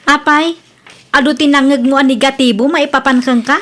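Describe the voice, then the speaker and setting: A woman with an Ilocano Filipino accent playing a sharp-tongued, grumbling wife in a radio comedy drama. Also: high-pitched, steady tone, normal speed. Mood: scolding.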